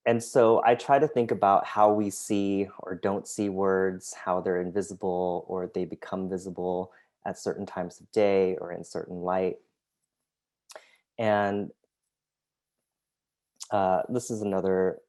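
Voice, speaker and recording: Man, artist presenting over a video call, low and unhurried, hesitant with lots of fillers, first language Vietnamese. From United States. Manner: hesitant, many ums, soft-spoken. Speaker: artist and graphic designer. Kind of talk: presenting artwork in an online talk.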